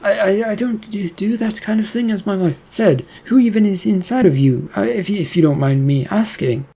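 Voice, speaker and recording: Possessed man who stutters in fear, higher nervous voice, read by a man. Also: moderate pace, vocal fry, mellow, husky, exaggerated voice. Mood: scared. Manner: stammering, nervous. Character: frightened stammering man, possessed.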